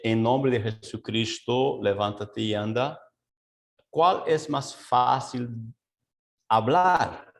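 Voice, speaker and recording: Slow, gravelly man teaching a Bible study. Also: medium pitch. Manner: mixes Portuguese and Spanish, slow and searching, many fillers and asides. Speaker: pastor. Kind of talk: Bible study teaching over video call. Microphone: video call.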